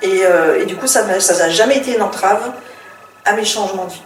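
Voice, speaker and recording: A woman's voice: mid-range voice, a little fast. Middle-aged French-born woman, chatty and reflective interview guest; mic a bit far off in an echoey room. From France. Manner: chatty and reflective, with many fillers and restarts. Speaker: author and former teacher, interview guest. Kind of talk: casual interview, telling her life story. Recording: roomy, distant mic with faint noise.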